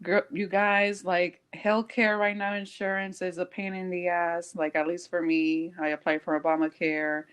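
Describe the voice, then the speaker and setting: A woman's voice: on the low side, little pitch movement, normal speed, hardly any pauses, smooth. A woman co-hosting a casual podcast, chatty and slangy, filling her talk with "like" and laughing often. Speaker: podcast co-host. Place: home in South Florida.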